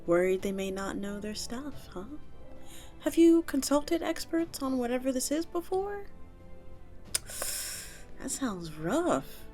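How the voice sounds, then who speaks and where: A woman's voice: high voice, takes it slowly, pauses often, faint music behind, pitched above the performer's own voice, heavily acted. A woman voicing a friendly, nurturing vampire tavern keeper in an audio roleplay, chatting to the listener in a coaxing, conversational way. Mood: sympathetic, encouraging. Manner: animated, coaxing, conversational with little chuckles.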